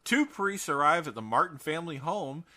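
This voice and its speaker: A man's voice: fairly high pitch, animated, normal speed, pushed and bright. A man co-hosting a podcast, chatting casually through horror news in a theatrical, jokey and emphatic way. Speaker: podcast co-host.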